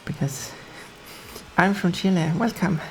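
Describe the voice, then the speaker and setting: Low-voiced Swedish woman streaming a racing game. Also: unhurried, a little hiss or hum, low-quality recording. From Sweden. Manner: chatty, laughs often, talks to chat while racing. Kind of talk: casual gameplay commentary.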